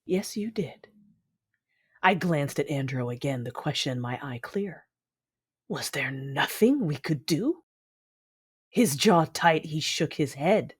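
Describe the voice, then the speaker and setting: A woman's voice: low voice, animated, slow pace, long pauses, breathy edge, no room sound, studio quality, full character voice. An actress playing a hardened, fiercely loving warrior woman who narrates an audio drama in the first person with solemn intensity. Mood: restrained despair.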